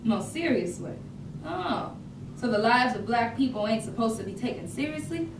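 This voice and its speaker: A woman's voice: on the high side, expressive, normal speed, far from the mic. Woman acting proud young art student, echoey room. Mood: indignant, scornful. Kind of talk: staged reading of dramatic monologue. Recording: echoey room from afar, noisy.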